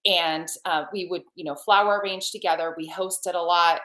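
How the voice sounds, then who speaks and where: A woman in her forties, originally from Serbia, tells her story as a podcast guest. Her voice sits fairly low, and she speaks in an earnest, lively way, often circling back to restart a phrase. She is heard through a video call that sounds roomy and echoey. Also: moderate pace. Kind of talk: personal storytelling in a podcast interview.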